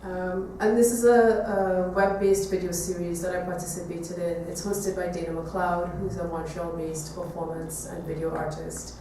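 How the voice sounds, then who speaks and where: Echoey, distant low-voiced woman presenting. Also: level tone, moderate pace, few pauses, mellow. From Trinidad. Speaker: visual artist giving a presentation. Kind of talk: artist talk to an audience. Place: echoey room, talk to an audience. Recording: echoey room, distant mic, faint noise.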